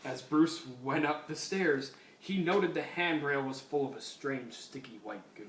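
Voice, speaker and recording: A man's voice: fairly high pitch, measured pace, fairly bright tone. A man giving a mock-serious, comedic dramatic reading of a story as its narrator. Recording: echoey room, distant mic, faint hiss.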